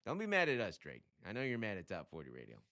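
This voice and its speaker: A man giving casual solo commentary as a radio insider, talking in a slangy, animated way with a readiness to chuckle. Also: on the low side, quick pace. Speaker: radio programmer and music commentator.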